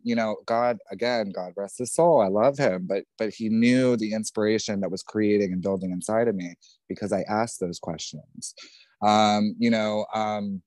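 A young man with a New Jersey accent tells his own story on a podcast, loud, outspoken and swearing freely. His voice sits a little low and sounds mellow and warm. The sound is close and dry, with no room around it. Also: dry recording. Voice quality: says he has a feminine voice. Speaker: podcast guest. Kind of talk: candid personal storytelling.

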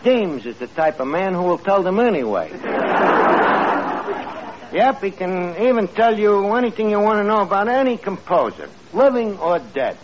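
An actor playing a brash, blustering New York tavern manager with slangy, ungrammatical speech and highbrow pretensions, in old-time radio comedy style. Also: male voice, very animated, moderate pace, over a noisy crowd. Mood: know-it-all. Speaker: comedy show lead. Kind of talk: 1940s-50s radio comedy acting. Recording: muffled vintage radio recording with noisy studio audience.

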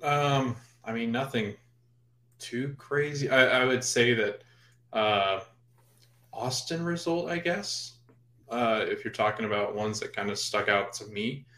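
A man co-hosting a casual sports podcast, thinking out loud in loose, rambling sentences. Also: medium pitch, unhurried. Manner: rambling, full of fillers and restarts. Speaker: podcast co-host. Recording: roomy echo.

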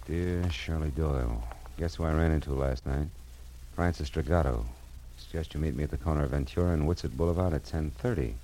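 A man's voice: very deep voice, brisk, dark tone, a little hiss or hum. A man with an American accent playing a tough, wry private detective in a 1940s radio crime drama, clipped and hard-boiled. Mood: businesslike. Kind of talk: 1940s radio crime drama acting, first-person narration. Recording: vintage radio recording with music behind.

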